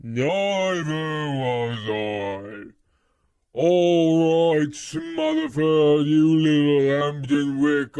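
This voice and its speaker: A man's voice: a little high, darkish tone, smooth, no echo. A man playing a pompous, easily wounded lord of monstrous chimney sweeps in an old-time radio horror comedy, theatrical and drawn out, using British slang. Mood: mocking menace.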